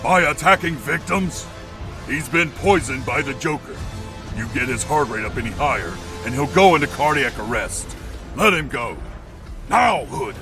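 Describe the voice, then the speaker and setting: A man's voice: mid-range voice, expressive, fairly quick, hardly any pauses, over music, lightly acted. An actor playing a grim, authoritative male crimefighter in a stern, terse comic dub performance. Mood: stern, commanding. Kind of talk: dramatic comic dub acting.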